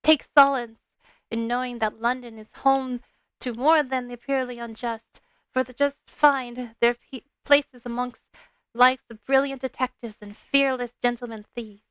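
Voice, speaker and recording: A woman's voice: fairly high pitch, fairly even intonation, unhurried, a bit bright, clean tone, no echo, dull audio. A woman with a bubbly, playful manner, talking as a game streamer on a livestream. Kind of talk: casual livestream chat with read-aloud game narration. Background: game music.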